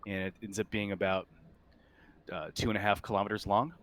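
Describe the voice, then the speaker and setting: A man running a tabletop sci-fi roleplaying game as game master, improvising his narration in a halting, thinking-aloud way. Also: lowish voice, fairly even intonation, fairly bright tone, slight background noise.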